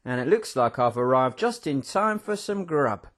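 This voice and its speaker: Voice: medium pitch, animated, moderate pace, natural voice. A reader voicing a cocky, jaunty man who brags about his escapes. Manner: jaunty, boastful. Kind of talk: audiobook character voice.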